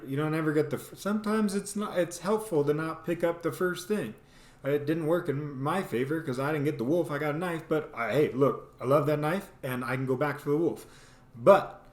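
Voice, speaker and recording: A man telling a casual, comedic personal story as a host, animatedly acting out bits as he goes. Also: on the high side. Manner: animated, acts out quoted lines and sound effects. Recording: echoey, roomy sound.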